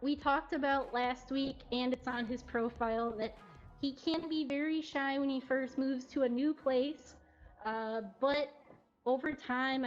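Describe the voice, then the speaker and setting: A woman presenting in a casual, informal way on a livestream from a cat cafe. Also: high voice. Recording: dull lo-fi audio, faint music. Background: faint background music.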